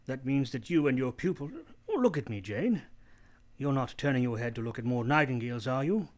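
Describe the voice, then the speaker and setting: A man playing a brooding, teasing gentleman master in love, with formal, theatrical delivery in a radio play. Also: mid-range voice, expressive, brisk, lightly acted. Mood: brisk, teasing.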